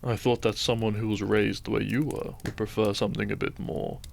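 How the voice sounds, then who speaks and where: Casting: male actor for a gentle farm-raised doctor in an audio romance; soft-spoken, measured, low with some fry. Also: level tone, slight background noise. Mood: surprised. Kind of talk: romantic audio roleplay addressed to the listener.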